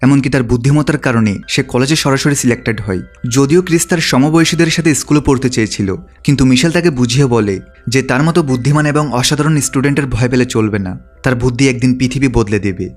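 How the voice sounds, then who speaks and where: Man, film recap narrator, scripted storytelling, rapid pace, average pitch, faint music behind.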